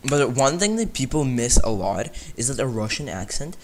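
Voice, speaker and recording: Young man, casual stream chat, rambling, mid-pitched voice, faint keyboard clicks behind. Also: big pitch swings, normal speed, noisy background. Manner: rambling, self-correcting, talks to chat while playing. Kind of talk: casual gaming livestream chat.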